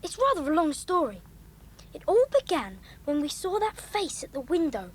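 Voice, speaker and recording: A woman's voice: high-pitched, big pitch swings, normal speed. A woman playing an eager, well-mannered child adventurer in an audio drama. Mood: earnest.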